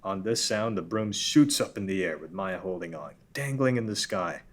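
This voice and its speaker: A man reading out scene directions in an even, matter-of-fact way at a table read. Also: deep voice, moderate pace.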